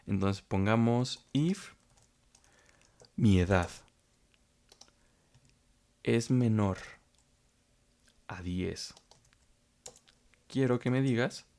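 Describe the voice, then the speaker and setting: Calm low-voiced man explaining code. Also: creaky. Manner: explains step by step, repeats phrases, frequent fillers. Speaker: programming instructor. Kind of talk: screen-recorded coding tutorial.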